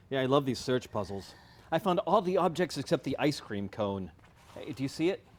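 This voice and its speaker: An actor playing an affectionate, puzzled young husband in a theatrical comedy reading, earnest and inquisitive. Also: male voice, mid-range voice, sing-song, normal speed. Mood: curious, concerned.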